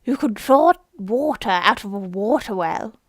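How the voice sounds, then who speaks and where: A woman putting on a brash, rude Hatter who barks his remarks. He sounds impatient and excitable, recorded close and dry. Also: medium pitch, measured pace, no room sound. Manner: loud and brusque. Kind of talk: storybook character voice.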